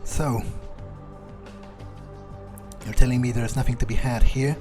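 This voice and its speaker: A cynical, self-serving vampire, roleplayed by a male gamer, musing aloud through a video game. His pitch is middling and his manner hesitant. Faint music sits behind him. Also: steady tone, a little slow, natural voice. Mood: casual, probing. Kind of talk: in-character game playthrough, thinking aloud.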